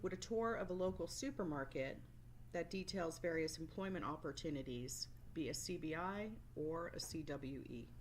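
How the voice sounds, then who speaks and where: Low-voiced woman presenting staff training. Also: moderate pace, slight background noise. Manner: instructional, explanatory. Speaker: work-based learning resource teacher. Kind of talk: scripted training presentation voice-over.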